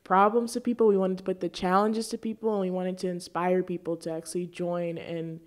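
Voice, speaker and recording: A woman with a fairly low voice talks as a show host. Her delivery is conversational, like an on-air discussion. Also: flat delivery, brisk.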